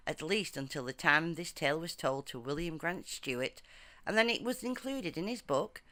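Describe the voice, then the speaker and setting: A storyteller narrating a folktale from a script in measured, formal storybook phrasing. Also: mid-range voice, a bit bright, no echo.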